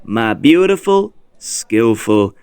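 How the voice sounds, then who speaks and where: A man playing a sly, flattering shapeshifting villain in a comic fan dub, speaking with smooth, drawn-out praise. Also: medium pitch, dry recording. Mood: sly, gloating.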